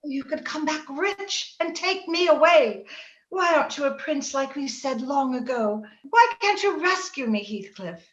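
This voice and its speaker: A high, unhurried girlish voice, played by a woman, pleading and dreamy in old-time radio style. She sounds earnest and willful, recorded in an echoey room over a slightly muffled link. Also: expressive. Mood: reproachful urging. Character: willful, pleading young girl, dreamy and romantic. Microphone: remote video call. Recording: roomy, muffled home video-call recording.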